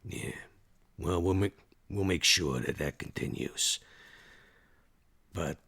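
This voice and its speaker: Male player as protective vampire mentor for a tabletop game; husky, deep, hesitant folksy drawl, gentle with the young. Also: slow pace, exaggerated voice. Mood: gentle, fatherly. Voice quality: gravelly, husky.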